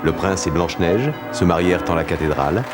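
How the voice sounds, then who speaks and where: A man narrating an animated fairy tale as a solemn storyteller. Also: low voice, a little fast, over loud music, over a noisy crowd, close to an everyday voice. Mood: triumphant.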